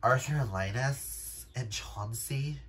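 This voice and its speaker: Slow-paced, gushing male book vlogger. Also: medium pitch, heavy background noise. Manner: gushing, emphatic, exclamatory. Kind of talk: casual chat to camera.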